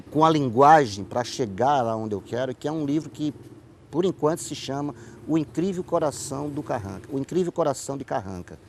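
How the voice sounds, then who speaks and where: A man's voice: medium pitch, a little mellow, slight background noise. A man with a Northeastern Brazilian accent, a writer answering in a conversational interview and telling stories, often repeating words and phrases for emphasis. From Paraíba, Northeast Brazil. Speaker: writer and playwright, interview guest.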